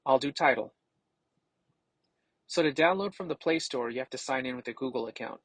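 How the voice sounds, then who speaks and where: A man narrating a scripted product demo as a tech reviewer, casual and explanatory, with a gravelly, slightly husky voice. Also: medium pitch, a little fast, fairly bright tone, no room sound.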